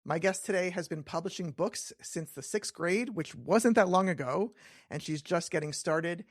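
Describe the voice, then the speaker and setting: A man's voice: high-pitched, normal speed, no echo, studio quality. A man hosting a chatty interview podcast, joking and self-deprecating as he trips over his words and corrects himself. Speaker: podcast host.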